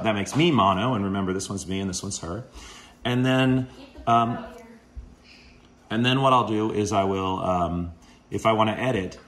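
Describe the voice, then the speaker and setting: A man in his forties, a podcast host, walking through a casual how-to at home with a low voice and a relaxed, rambling manner full of fillers. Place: home kitchen, indoors.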